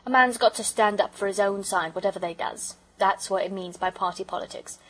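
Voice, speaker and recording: A woman's voice: medium pitch, fairly quick, fairly bright tone, natural voice, poor audio. A woman voicing a proud, sulky working-class English railway porter in a storybook, with colloquial speech and dropped aitches. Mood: opinionated.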